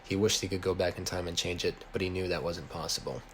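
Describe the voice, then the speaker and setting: American guy with a low voice reading his story out loud, slight background noise. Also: steady tone, quick pace. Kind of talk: reading own written story aloud. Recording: noisy home recording.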